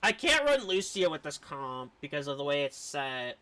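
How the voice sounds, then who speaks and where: A man streams a video game with casual, excitable commentary. His voice swings widely in pitch and sounds bright and forward, with game music playing behind him. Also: normal speed. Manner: excitable, reacting aloud to the game.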